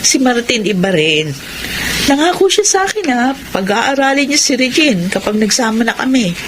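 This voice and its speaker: A woman's voice: a little high, very animated, moderate pace. A lovelorn Filipino single mother, noisy recording. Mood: earnest, defensive. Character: lovelorn single mother, hopeful, easily hurt. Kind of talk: Filipino radio drama acting.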